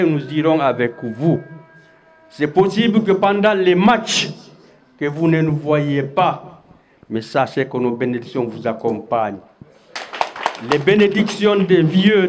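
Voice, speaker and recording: An older man with a Guinean accent giving a public tribute speech into a handheld microphone. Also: fairly high pitch. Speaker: community elder giving a speech. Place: public gathering in a covered hall.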